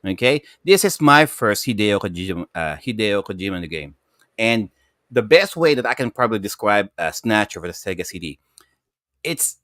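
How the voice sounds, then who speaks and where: A man with a Filipino accent chatting casually as a gaming show panelist, excitable and rambling, often repeating himself. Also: fairly low pitch, normal speed, dry recording, studio quality. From Philippines. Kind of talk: casual chat.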